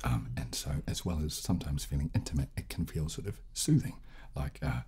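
A man in his thirties hosting ASMR, explaining gently and hesitantly in a soft, hushed voice close to the microphone. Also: rumbling low, animated, fairly quick. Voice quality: soft, hushed, breathy whisper at times. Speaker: ASMR video host. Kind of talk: soft-spoken and whispered ASMR, casual instruction. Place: small indoor room, seated at table. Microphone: close studio microphone.

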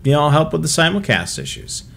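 A man's voice: fairly high pitch, wildly swooping pitch, fairly quick, vocal fry, fairly bright tone. A middle-aged man with an American accent, co-hosting a livestream and explaining things casually from a home setup. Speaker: co-host of a police scanner show. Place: small home room with equipment, indoors.

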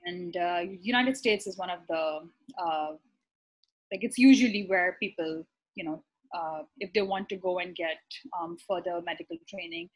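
Husky-voiced young woman on a video call. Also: lowish voice, normal speed, rough recording. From Pakistan. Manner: thoughtful, many ums and restarts. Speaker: physician guest. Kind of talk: casual conversational interview. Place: bright apartment room, indoors. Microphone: laptop webcam on a video call.

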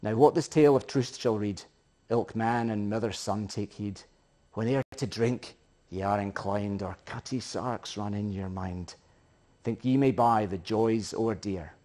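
A man's voice: lowish voice, unhurried. Scottish man dramatically reciting poetry. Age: middle-aged. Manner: theatrical, emphatic storytelling with swells and hushes. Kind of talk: dramatic recitation of a Scots narrative poem. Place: living room, indoors.

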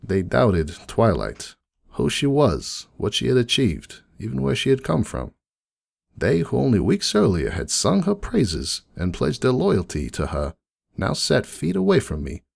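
A man acts out a world-weary middle-aged donut seller pony in a dramatic fan-fiction reading. His low, gravelly voice swings expressively as he confides his story in a folksy, rambling way. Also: a little mellow, full character voice. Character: jaded, rueful middle-aged male pony donut shop owner. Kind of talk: dramatic first-person monologue reading.

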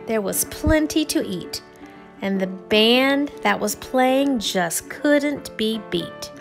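A woman reading a children's storybook aloud with expressive, deliberate, theatrical phrasing. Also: medium pitch. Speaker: storybook reader.

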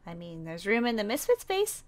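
A woman's voice: medium pitch, sing-song, moderate pace, close to an everyday voice. A woman playing a naive, curious survivor in a zombie game roleplay, with a playful, questioning manner. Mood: casual, unsure. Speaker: roleplay player.

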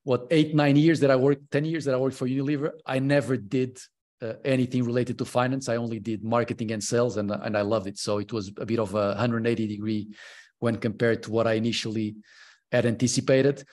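A man's voice: medium pitch, level tone, a little fast, a little mellow. A man in his early forties from Portugal, a podcast guest talking casually and hesitantly, with many fillers and restarts, over a video call. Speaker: podcast guest, consultant and mentor. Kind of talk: casual interview chat. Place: home room, on a video call.

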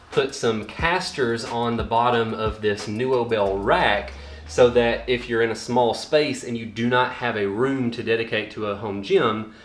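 A young man hosting a home gym channel, explaining do-it-yourself equipment in a practical, matter-of-fact way in a garage gym. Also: medium pitch. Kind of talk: casual how-to explanation. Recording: echoey room with faint music.

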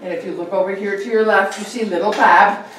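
A woman's voice: mid-range voice, steady tone, fairly quick, fairly bright tone, slight background noise. A woman giving a chatty local history talk over slides, with fillers and asides. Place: meeting room with projector. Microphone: far room mic. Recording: very echoey room, distant mic.

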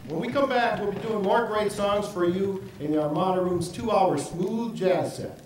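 A man's voice: sits high, normal speed, natural voice. A man on stage playing an affable, easygoing lounge bandleader in a comedy. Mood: showy. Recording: very echoey theater, distant, noisy audience.

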